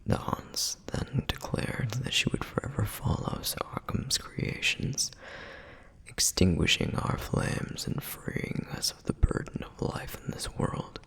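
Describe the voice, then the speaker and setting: A man voicing a protective, duty-bound male flame demon in an ASMR roleplay, telling lore in a soft, breathy whisper. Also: lowish voice, animated, slow pace, exaggerated voice. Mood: hushed, musing.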